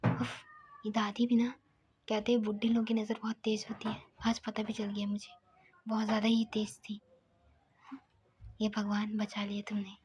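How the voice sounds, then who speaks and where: A woman voicing a defensive, easily irritated young man who talks quietly, half under his breath. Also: mid-range voice, completely flat delivery, normal speed, long pauses, husky. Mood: muttering.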